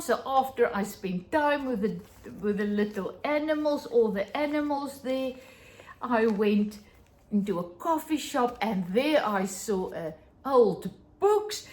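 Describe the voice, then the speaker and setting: A middle-aged South African woman with an Afrikaans first language talks slowly to her viewers, drawing out her words. Her pitch sits a little high and swoops up and down. She gushes over small treasures with real delight. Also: darkish tone. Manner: gushing, enthusiastic, exclaiming over small finds. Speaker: vlogger. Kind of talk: casual chat to camera.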